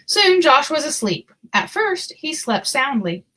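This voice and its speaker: Lady reading a kids book out loud, higher voice, super expressive storyteller. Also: unhurried. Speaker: children's book narrator. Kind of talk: expressive storybook read-aloud.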